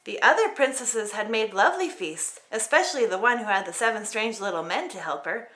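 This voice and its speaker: Woman, expressive children's story narrator, bright voice, animated intonation. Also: medium pitch, a little fast. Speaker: storybook reader.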